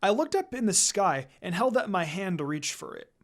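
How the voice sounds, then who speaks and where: A man's voice: sits high, big pitch swings, normal speed. A man reading fan fiction aloud as a scripted story narration.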